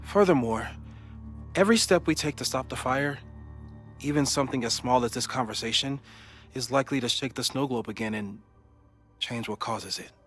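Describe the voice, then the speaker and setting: An actor playing a calm, cautious, scientifically minded man in a video game drama, reasoning in precise, formal phrases. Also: mid-range voice, moderate pace, pauses often, soft background music, close to an everyday voice. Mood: analytical.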